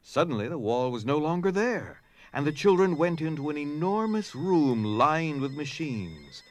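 A man's voice: a little high, big pitch swings, moderate pace, a little hiss or hum. A man narrating a scripted story dramatically in an audio drama.

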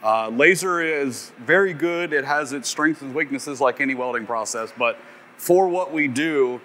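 A man's voice: medium pitch, moderate pace, pushed and bright, a little hiss or hum. A man in his thirties giving a casual, detailed technical explanation as a factory tour guide, in a matter-of-fact way. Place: indoors, sound-testing room and factory floor.

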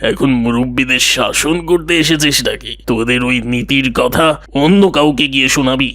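A man playing a proud, quarrelsome older neighbour in a dramatic cartoon, with a gravelly voice. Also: mid-range voice, moderate pace, pushed and bright, no room sound. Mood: angry, scornful.

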